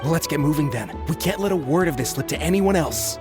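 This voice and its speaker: A man's voice: a little high, animated, quick pace, over music, lightly acted. A man playing an upbeat young villager in a video game, casual and enthusiastic. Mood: eager. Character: upbeat young male villager, eager schemer.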